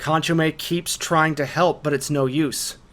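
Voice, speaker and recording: Higher-voiced man narrating a video essay. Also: steady tone, a little slow, fairly bright tone. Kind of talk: scripted narration, anime analysis. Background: background music.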